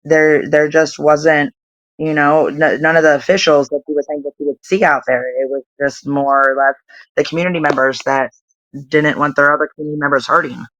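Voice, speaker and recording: A woman's voice: low-pitched, steady tone, a little fast, fairly bright tone, no room sound, muffled recording. A woman around forty, a nonprofit founder and interview guest, talking in a rambling way full of fillers. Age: 40s. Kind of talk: casual interview chat.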